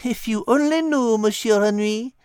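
A woman's voice: fairly high pitch, normal speed, smooth, no room sound, clean studio sound, pitched above the performer's own voice, close to an everyday voice. A woman doing a French-accented wise cat. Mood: warm. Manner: French-accented, gently teaching. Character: wise, polite female cat with French manners. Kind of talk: storybook reading.

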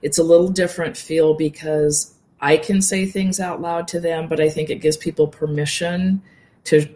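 A calm woman talking as a guest in an interview, her voice soothing and earnest. She keeps her pitch level and flat, with little rise or fall. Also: normal speed, a little hiss or hum. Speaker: guest, yoga nidra teacher. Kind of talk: casual interview talk.

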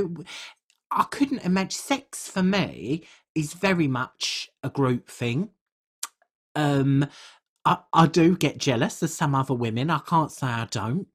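Low-pitched, husky, animated woman podcaster. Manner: chatty, candid, rambling. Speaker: podcast co-host. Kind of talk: casual comedic podcast chat.

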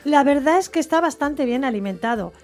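A wry, observant narrator in a radio play. Her voice is fairly high, delivering literary musings over faint music. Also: expressive, fairly quick, darkish tone. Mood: wry, admiring. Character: observant female narrator, quietly wry and admiring.

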